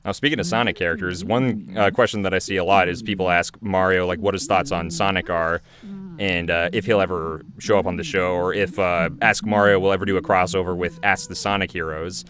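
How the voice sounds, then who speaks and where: Low-voiced man giving casual commentary. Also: steady tone, fairly quick, a bit bright. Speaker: animator and show creator.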